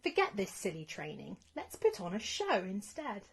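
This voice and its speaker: A timid young knight from a children's storybook, acted out by a woman. He is earnest and kind, speaking with nervous eagerness and very lively, swooping pitch. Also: moderate pace, vocal fry, breathy edge, exaggerated voice. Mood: eager.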